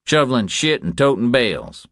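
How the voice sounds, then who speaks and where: A man's voice: mid-range voice, very animated, normal speed, a bit bright, no echo, studio quality, voice pushed up. A man voicing a cocky, wiry ranch hand who needles a newcomer in blunt, folksy, clipped phrases for an audiobook. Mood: mocking. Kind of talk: audiobook character dialogue.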